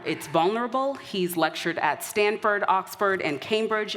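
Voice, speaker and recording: A woman host reading prepared introductions at a live event. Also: lowish voice. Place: large event hall. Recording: hall reverb.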